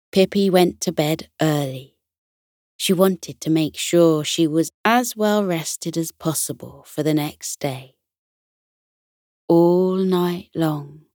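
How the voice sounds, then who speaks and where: A woman reads a children's bedtime story in a gentle, soothing way. Her voice sits a little low and she takes her time, with a very slow pace. The sound is close and dry, with no room echo.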